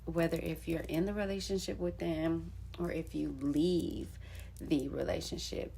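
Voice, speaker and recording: Slow, gravelly-voiced young woman. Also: low-pitched, mellow, slight background noise. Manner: rambling and candid, full of "like" and "um". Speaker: poet and storyteller vlogger. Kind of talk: casual storytelling monologue.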